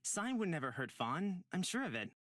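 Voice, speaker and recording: A man's voice: high voice, sing-song, moderate pace, fairly bright tone, no room sound, clean studio sound, heavily acted. A man playing an earnest, protective, trusting young character in a fantasy visual novel. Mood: defensive.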